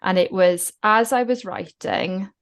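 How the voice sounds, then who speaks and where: A woman's voice: medium pitch, a little slow. A woman from South Wales with a Welsh accent, a guest talking reflectively in a podcast interview. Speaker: guest author.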